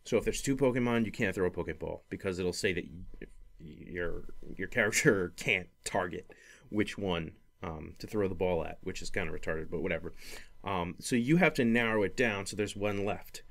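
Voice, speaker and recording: A man's voice: deep voice, normal speed, vocal fry, a bit bright. A man chatting casually about gaming as a podcast host, in a rambling, hesitant way with frequent restarts. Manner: hesitant, rambling, often repeating and restarting phrases.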